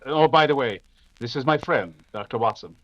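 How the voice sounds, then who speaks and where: An actor playing an unflappable English detective, measured and dryly dismissive, in a 1940s radio drama style. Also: male voice, medium pitch, fairly bright tone, no room sound, muffled recording, close to an everyday voice. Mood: calm composure.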